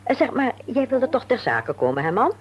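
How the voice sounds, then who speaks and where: An actress playing a blunt, teasing, bossy wife in an old Dutch radio play. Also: female voice, fairly high pitch, big pitch swings, fairly quick, breathy edge, dull audio.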